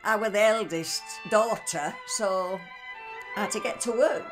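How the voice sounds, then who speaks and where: A woman's voice: medium pitch, unhurried, rough recording. An elderly woman with a Yorkshire accent, plainly recalling her family and working life as a former mill worker. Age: older. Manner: plain, matter-of-fact recollection. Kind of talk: interview reminiscence. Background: violin music.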